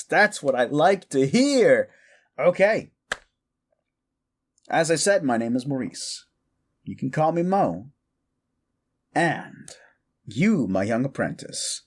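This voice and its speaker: Man voicing a mischievous necromancer, comedic audio drama, very animated pitch, slangy, casual, chatty, stammering with enthusiasm. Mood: pleased. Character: quirky, mischievous young male necromancer, chatty and eager. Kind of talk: comedic audio roleplay addressed to the listener.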